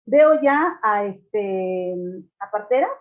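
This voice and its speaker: A woman's voice: medium pitch, lively intonation, extremely slow. A Mexican woman teaching a law class over a video call, explaining points and asking her students rhetorical questions. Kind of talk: online class lecture. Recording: dull lo-fi video call audio.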